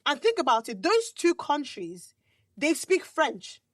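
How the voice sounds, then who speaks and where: A woman's voice: sits high, very animated, a little slow, no echo, studio quality. A woman from Nigeria co-hosting a podcast, chatting casually and animatedly, often repeating words and trailing off mid-thought. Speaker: podcast co-host. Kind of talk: casual chat.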